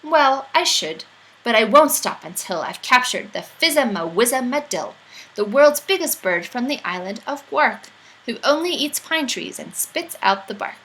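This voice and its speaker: A woman's voice: fairly high pitch, big pitch swings, moderate pace, bright, forceful tone, low-quality recording. A woman reading a rhyming children's picture book aloud in a playful, theatrical storytelling manner.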